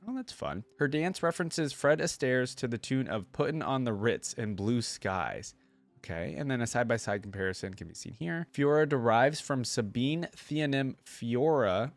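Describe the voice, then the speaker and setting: A man's voice: medium pitch, no echo. Casual man reacting, dry close sound. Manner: informal, filler-heavy, thinking aloud. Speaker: reaction video host.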